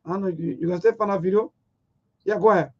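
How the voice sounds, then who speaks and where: A man's voice: sits high, fast talker. A man hosting a live call-in talk show in a casual way. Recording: dull lo-fi stream audio.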